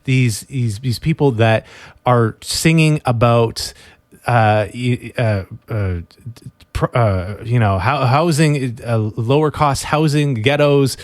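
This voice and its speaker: A man from Montreal hosting a podcast, asking questions in a hesitant, restart-heavy way that grows animated when he makes a point. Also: mid-range voice, slow, dry recording. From Montreal, Canada. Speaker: podcast host. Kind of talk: casual interview talk.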